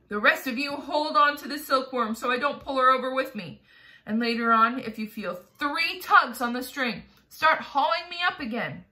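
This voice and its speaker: Woman acting urgent, bright-voiced brave young boy. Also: high voice, expressive, normal speed. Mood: urgent, determined. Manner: urgent, exclaiming. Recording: echoey room.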